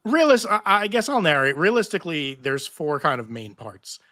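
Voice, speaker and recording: A man's voice: fairly high pitch, big pitch swings, normal speed, pushed and bright, no room sound. A man on a casual panel, organizing a table read and reading stage directions aloud as narrator.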